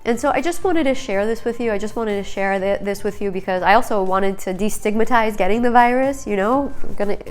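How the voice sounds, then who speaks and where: A woman's voice: medium pitch, brisk, few pauses, smooth, soft background music. Congested woman sharing a heartfelt story. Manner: earnest, heartfelt, searching for words. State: congested, stuffy nose. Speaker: nutrition counselor and channel host. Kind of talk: personal vlog to camera.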